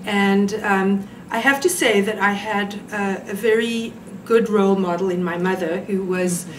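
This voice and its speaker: A woman's voice: mid-range voice, steady tone, unhurried, roomy sound, distant mic, slight background noise, poor audio. A woman from South Africa with a South African accent, speaking as an interview guest in a reflective, conversational way. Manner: reflective, with fillers and restarts.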